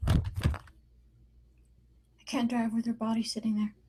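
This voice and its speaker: A woman's voice: a little high, level tone, unhurried, warm tone, slightly rough, rough recording. A woman playing a frightened, defiant mother under threat in a screenplay table read, urgent and distressed.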